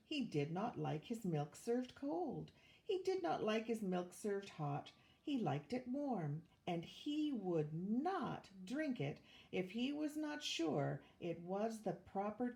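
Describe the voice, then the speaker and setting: An older woman reading a rhyming children's picture book aloud with measured, expressive storytelling. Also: mid-range voice, creaky. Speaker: volunteer storybook reader, retired teacher.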